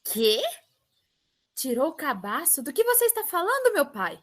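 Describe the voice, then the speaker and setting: A woman playing a sassy, lovestruck young princess in a comedic audio drama, defiant and whining with drawn-out theatrical sarcasm. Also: high-pitched, sing-song, a bit bright. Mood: shocked.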